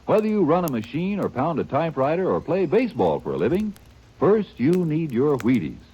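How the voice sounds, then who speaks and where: A folksy male announcer with a mellow, husky voice whose pitch swings widely as he makes his upbeat pitch. The dull, lo-fi sound marks this as an old radio ad. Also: wildly swooping pitch, a little fast. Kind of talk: 1940s radio commercial.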